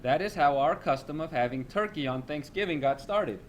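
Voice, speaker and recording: A man's voice: a little high, animated, moderate pace. Male teacher reading aloud, background noise. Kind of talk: read-aloud of a children's nonfiction text.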